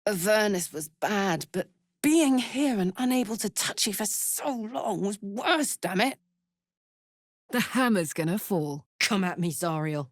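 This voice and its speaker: An actress voicing a blunt, battle-hardened but warm-hearted woman warrior in a video game. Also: medium pitch, animated, measured pace, dry recording, studio quality. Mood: emotional, yearning, then angry, defiant. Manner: blunt, swearing, swinging between playful and intense. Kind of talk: video game character voice acting.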